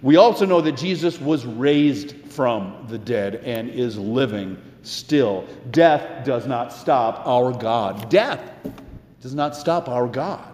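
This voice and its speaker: A male pastor delivering a sermon in an earnest, emphatic way, with deliberate pauses and repeated phrases. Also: medium pitch, lively intonation, a little mellow. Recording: echoey, reverberant church sound.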